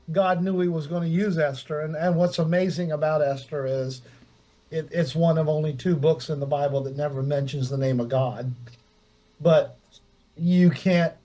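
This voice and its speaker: A man on a Bible study panel, speaking reflectively and a bit haltingly over a video call. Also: fairly high pitch, darkish tone, rough recording. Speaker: discussion panelist. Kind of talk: casual Bible study discussion.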